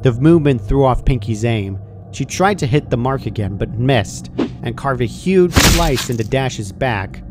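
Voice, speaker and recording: A man's voice: mid-range voice, expressive, a little slow, faint music behind. A man reading a scripted story aloud as its narrator. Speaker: fan fiction narrator. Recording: clearly noisy home recording.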